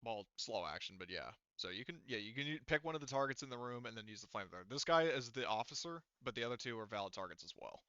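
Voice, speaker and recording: Male game master, bright and clear, informal and sweary, dry but slightly lo-fi voice-chat sound. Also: medium pitch, lively intonation, fast talker, few pauses, no echo. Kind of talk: running a tabletop roleplaying game, casual explaining.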